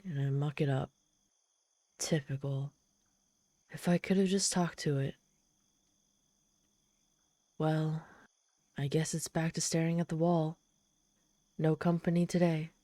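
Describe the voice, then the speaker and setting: A woman's voice: deep voice, steady tone, measured pace, long pauses, mellow, no room sound. A woman playing a proud, lonely, desperate and socially awkward demon in a dramatic audio roleplay, haughty yet pleading. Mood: dejected. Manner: haughty yet pleading, theatrical sighs and gasps. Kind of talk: dramatic ASMR roleplay storytelling.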